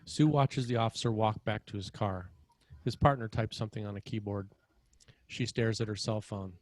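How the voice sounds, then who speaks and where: A man with a fairly low voice narrates a screenplay. He reads the stage action in short, measured, dramatic phrases. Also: fairly even intonation, darkish tone, no echo. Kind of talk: scripted screenplay narration, present tense.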